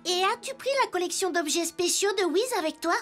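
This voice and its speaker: Very high-pitched little boy detective. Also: lively intonation, brisk, music in the background. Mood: earnest, inquisitive. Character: earnest, kind little wooden toy boy detective. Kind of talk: children's cartoon dubbing.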